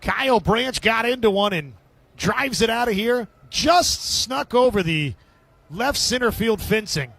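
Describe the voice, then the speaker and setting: A man calling baseball play-by-play as live radio sports commentary, with a husky edge to his voice. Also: very high voice, expressive, measured pace, a bit bright, no echo.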